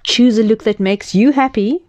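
A woman's voice: a little high, no room sound, voice pushed up, lightly acted. A woman playing a joyful, reassuring mother. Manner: warm, reassuring, slow and emphatic. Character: joyful, gentle mother reassuring her son.

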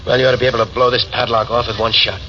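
An actor playing a battered, cunning male ex-con in an old radio drama, weak and in pain, speaking quietly, tersely and guardedly. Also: mid-range voice, little pitch movement, racing, dull audio, close to an everyday voice. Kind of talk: 1940s radio acting. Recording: distant, very noisy old radio recording.

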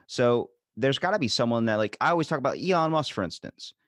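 Man, Baltimore accent, rambling and animated, mid-pitched voice, dry close sound. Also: quick pace, no echo. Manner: rambling, animated, swears casually. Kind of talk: casual chat.

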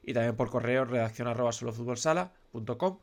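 A man's voice: mid-range voice, fast talker. A man in his thirties hosting a radio show, nasal and congested from a cold, rambling with many fillers and restarts. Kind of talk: casual radio talk.

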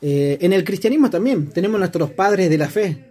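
A man's voice: sits high, expressive, normal speed, mellow. A man with an Argentine accent explaining his views in a casual discussion, reflective and asking rhetorical questions. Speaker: discussion participant.

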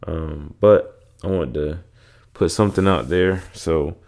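Congested man with a very deep gravelly voice. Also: slow pace, darkish tone. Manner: rambling, many fillers and restarts. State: congested, sore throat. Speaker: podcast host. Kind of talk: casual solo podcast chat.

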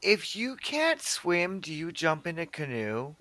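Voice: medium pitch, wildly swooping pitch, measured pace, sharp tone, no room sound. A podcast host chatting casually about a film in a blunt, joking way, swearing freely. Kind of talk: casual film commentary chat.

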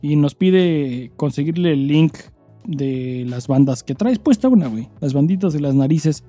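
A Mexican man with a fairly high, mellow voice co-hosts a casual podcast. He talks in a slangy, crude, joking way, recorded fairly dry with music underneath. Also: lively intonation, moderate pace. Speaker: podcast co-host. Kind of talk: casual chat and listener mail reading.

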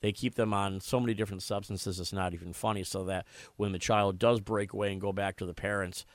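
A man's voice: lowish voice, steady tone, brisk, no echo. An American man hosting a live talk radio show, discussing issues and interviewing guests. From United States.

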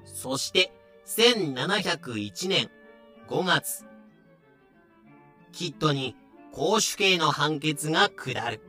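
A man's voice: fairly high pitch, expressive, extremely slow, long pauses, pushed and bright, faint music behind, heavily acted. A man narrating a pirate history audio drama in a solemn, scripted, dramatic style.

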